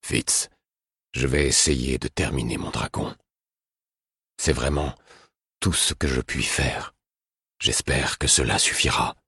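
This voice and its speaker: Storybook king, drained and grave, very deep and husky, as read by a male narrator. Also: fairly bright tone, dry recording, studio quality, heavily acted. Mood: weary. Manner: slow, weary and grave. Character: exhausted aging male king, solemn.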